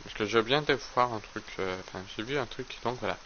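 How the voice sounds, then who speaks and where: A man streaming a game while talking casually, rambling and stammering through repeated words, with a slight hoarseness from a cold. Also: on the low side, brisk, sharp tone, slight background noise. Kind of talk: casual let's play commentary. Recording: slightly dull lo-fi stream audio.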